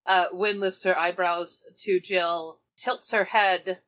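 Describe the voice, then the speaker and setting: A woman's voice: lowish voice, fairly even intonation, slow pace, fairly bright tone. A woman casually improvising narration and dialogue as a player in a tabletop roleplaying game, informal and conversational.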